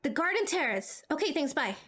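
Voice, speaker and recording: Woman acting high-pitched, frantic teenage heroine, over music. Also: sing-song, a little fast, fairly bright tone, a little hiss or hum. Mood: flustered. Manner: urgent, stammering. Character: impulsive, anxious teenage heroine. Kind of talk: amateur game cutscene voice acting. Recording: music behind the voice.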